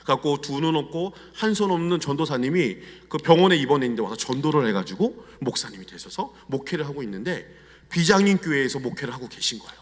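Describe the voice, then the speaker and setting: Guest preacher, middle-aged man, Chungcheong accent, slightly high voice, lively storytelling, long hall echo. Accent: Chungcheong Korean. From Chungcheong, Korea. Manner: animated, confiding storyteller. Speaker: guest pastor. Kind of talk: storytelling sermon. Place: church sanctuary. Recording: long church hall reverb.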